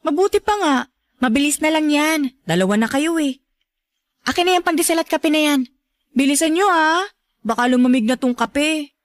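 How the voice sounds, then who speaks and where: Woman acting high, brisk Filipina friend. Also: dry recording, studio quality, lightly acted. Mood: cheerful, brisk. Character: brisk, cheerful, protective young woman friend. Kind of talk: Filipino radio drama acting.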